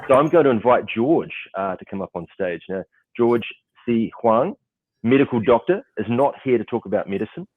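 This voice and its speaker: A man's voice: mid-range voice, animated, moderate pace, no echo. New Zealand man with a thin, phone-like sound. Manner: chatty, lots of "uh" fillers. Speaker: moderator. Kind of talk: casual online panel hosting. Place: client's office in Seattle, crew setting up.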